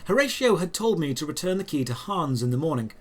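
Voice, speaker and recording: A man narrating a scripted first-person fantasy story in a measured, descriptive storytelling manner. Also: fairly high pitch, very animated.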